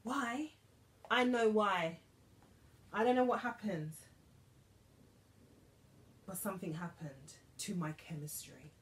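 A woman's voice: medium pitch, takes it slowly, long pauses. Chatty British woman vlogging a haul. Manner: chatty, animated, drops to whispers for asides. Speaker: beauty vlogger. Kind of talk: casual haul chat to camera. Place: bedroom dressing room with vanity, indoors.

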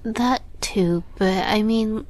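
A woman playing a shy young catgirl roommate in an intimate roleplay audio, halting and nervous with a playful streak, often softening to a near whisper. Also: medium pitch, close to an everyday voice. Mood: nervous, hesitant.

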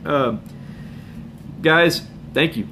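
A man hosts a fishing channel live stream from home, chatting loosely with viewers and sounding hoarse from a cold. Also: fairly high pitch, very slow, pushed and bright, a little hiss or hum. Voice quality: rambling, laid-back, with chuckles and filler words. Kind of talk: casual live stream chat. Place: home room with bookshelves and a window. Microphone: phone camera up close.

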